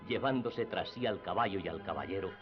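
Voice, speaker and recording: A man's voice: sits low, fairly quick. A man reading scripted literary narration for radio theatre. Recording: dull lo-fi radio drama with music.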